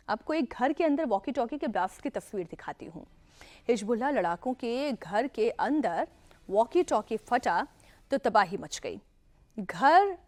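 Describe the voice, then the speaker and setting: High-pitched, urgent woman news anchor. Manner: emphatic, urgent delivery with rhetorical questions. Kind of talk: dramatic news narration.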